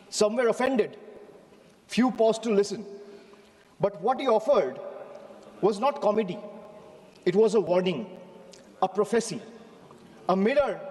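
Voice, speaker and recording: A man with an Indian English accent delivers a rehearsed parliamentary speech in a high-pitched voice. He builds his points through repetition and deliberate stresses. His desk microphone carries the long reverb of a large chamber. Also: a little mellow. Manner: oratorical, rhetorical repetition, measured emphasis. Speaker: member of parliament.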